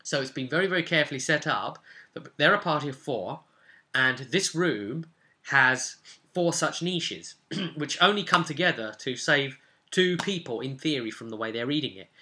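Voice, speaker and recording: Bright, forceful man explaining game sessions. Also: fairly high pitch, normal speed. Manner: animated, emphatic explaining with repetition and rhetorical asides. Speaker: game master and video host. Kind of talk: casual, rambling recap of a tabletop roleplaying session.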